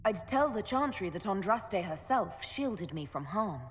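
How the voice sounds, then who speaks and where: A composed, diplomatic heroine talks calmly and directly in a medium-pitched voice. It is earnest fantasy game dialogue with faint noise behind it. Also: female voice, a little slow. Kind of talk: dramatic video game acting.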